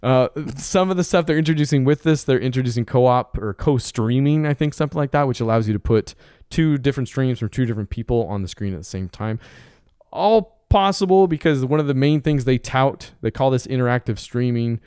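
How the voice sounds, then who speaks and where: A man's voice: mid-range voice, fairly quick, a little mellow, smooth, no echo, clean studio sound. A young man hosting a podcast, easygoing and laughing often as he chats and reads out news items. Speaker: podcast host. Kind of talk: casual chat, reading news items.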